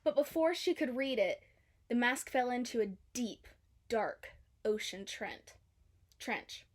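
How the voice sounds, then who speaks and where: A woman's voice: on the high side, expressive, slow. A woman reading a children's storybook aloud as a narrator. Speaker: storybook reader.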